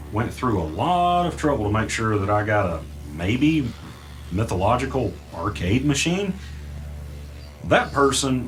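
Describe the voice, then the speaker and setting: Guy doing brooding first person mystery narration, lowish voice, halting and deliberate, soft music behind. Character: uneasy male podcaster recounting his own mystery.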